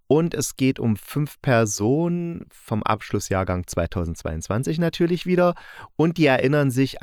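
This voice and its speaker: A man's voice: mid-range voice, no echo, studio quality. A man giving a casual spoken review, chatty and thinking aloud as he goes. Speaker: reviewer of audio dramas.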